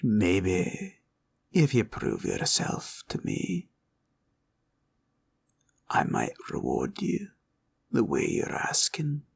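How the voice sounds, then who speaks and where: A man playing a proud, cunning green dragon in a villain roleplay audio, with a taunting drawl and a gravelly growl. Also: fairly low pitch, wildly swooping pitch, drawn-out words, long pauses, full character voice. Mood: amused, scornful. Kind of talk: roleplay ASMR villain monologue.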